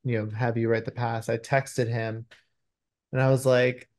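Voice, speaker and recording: Male interview guest, medium-pitched voice, conversational delivery over a video call. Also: flat delivery, measured pace, a little mellow. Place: indoor room, video call. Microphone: video call microphone.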